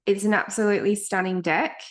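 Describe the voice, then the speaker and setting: A woman's voice: medium pitch, fairly even intonation, brisk. Effusive woman podcast host. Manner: gushing, effusive compliments. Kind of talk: warm interview hosting.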